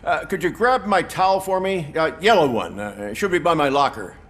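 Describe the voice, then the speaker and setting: A man playing a distracted, good-natured police officer in a video game, speaking haltingly with many uhs. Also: fairly high pitch, expressive, a bit bright. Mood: distracted, awkward. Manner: hesitant, halting, full of uhs.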